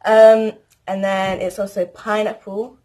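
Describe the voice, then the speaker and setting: A woman's voice: mid-range voice, little pitch movement, slow pace, dark tone. A teenage girl chatting casually to camera, rambling through a list of facts about herself. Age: teens. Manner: chatty, rambling, lists things. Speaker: vlogger.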